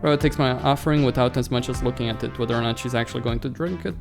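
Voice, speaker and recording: A man's voice: mid-range voice, fairly even intonation, very fast, music in the background. A man hosting a let's play, reading a visual novel aloud and stumbling over words now and then, with casual side comments.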